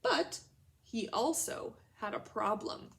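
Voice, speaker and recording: A woman's voice: a little high, lively intonation, slow, vocal fry, fairly bright tone. A woman teacher leading a read-aloud lesson for young children, speaking in simple, instructional phrases and questions. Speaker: elementary school teacher.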